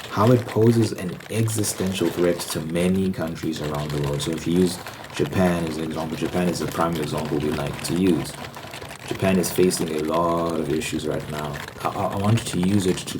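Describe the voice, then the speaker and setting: Male ASMR host, very low voice, halting explanatory delivery with repeated phrases, noticeable background noise. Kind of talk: ASMR ramble, explanatory monologue.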